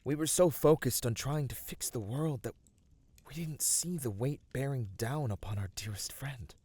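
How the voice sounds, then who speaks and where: A man playing a gentle, devoted male battle dancer of a fantasy race in an ASMR roleplay, speaking earnestly and soothingly with dramatic pauses. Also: mid-range voice, breathy edge, no room sound, close to an everyday voice. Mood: regretful. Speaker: voice actor.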